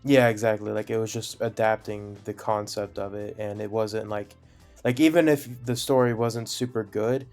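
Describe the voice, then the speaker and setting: A man's voice: lowish voice, fairly even intonation, moderate pace, darkish tone, faint music behind. A young man co-hosting a podcast, searching for words and trailing off. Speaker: podcast co-host. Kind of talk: casual chat.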